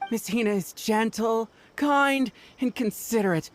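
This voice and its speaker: A woman's voice: fairly high pitch, unhurried, slight background noise, natural voice. A woman voicing a devoted, eager idol fan in a video game. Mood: reverent. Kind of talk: English video game voice acting. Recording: music under the voice. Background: game music.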